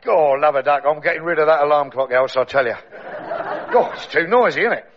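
A man's voice: a little high, a little fast, muffled recording, a loud crowd, close to an everyday voice. A man playing a blustering middle-aged Cockney pearly king in a radio comedy sketch, grumbling and exasperated, full of rhyming slang.

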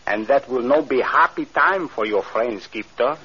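A man's voice: mid-range voice, normal speed, sharp tone, slight background noise, lightly acted. An actor with a Spanish accent playing a swaggering, menacing male pirate who speaks broken English, on an old radio recording. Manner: broken English, gloating threats. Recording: muffled old radio broadcast.

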